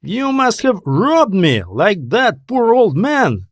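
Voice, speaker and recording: Guy doing an angry bitter dude from an audiobook, menacing n sarcastic, pitch jumps up, dry sound. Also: big pitch swings, slow pace, dry recording, exaggerated voice. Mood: demanding. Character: angry, bitter, defiant man confronting aliens. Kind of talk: audiobook character dialogue.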